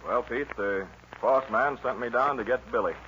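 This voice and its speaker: An actor playing a resolute, plain-spoken frontier lawman with an American Western accent, firm and measured in old radio style. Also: mid-range voice, pushed and bright, natural voice. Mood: grimly determined. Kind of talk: 1940s radio western acting. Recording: muffled old radio recording, faint noise.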